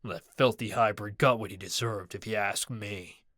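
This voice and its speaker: A man voicing a brutish male prison thug with a deep, booming, snarling voice in a storybook reading. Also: fairly even intonation, normal speed, bright, forceful tone, no room sound, studio quality. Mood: gloating.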